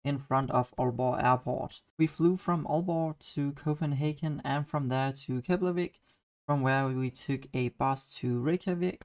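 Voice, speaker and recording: A young man with a Danish accent, narrating a travel diary in an earnest, enthusiastic voice-over. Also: medium pitch. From Denmark. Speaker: student vlogger.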